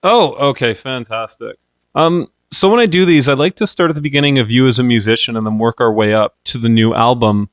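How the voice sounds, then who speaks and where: A man hosting a radio rock show, introducing his guest and asking questions in a relaxed, conversational way. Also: medium pitch, brisk, clean tone, dry recording, clean studio sound. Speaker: radio host. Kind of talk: radio interview.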